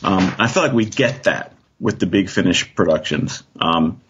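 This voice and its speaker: A man's voice: low-pitched, moderate pace, lo-fi sound, background noise. A man chatting casually as a guest, thinking aloud tentatively and often checking for agreement. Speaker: guest author. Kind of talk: casual discussion.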